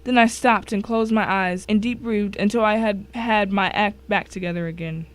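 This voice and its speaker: A woman's voice: medium pitch, steady tone, moderate pace. A woman giving a dramatic first-person reading of a short story as one of its narrators.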